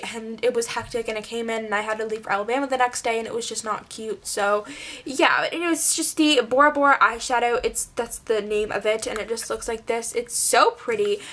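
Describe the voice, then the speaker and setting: A woman's voice: a little high, a bit bright, a little hiss or hum. A woman reviewing her favorite beauty products in a chatty, rambling way while losing her voice and congested, recorded on a close camera in a small bedroom. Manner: chatty, rambling, filler-heavy. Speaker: beauty vlogger. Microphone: camera mic at close range.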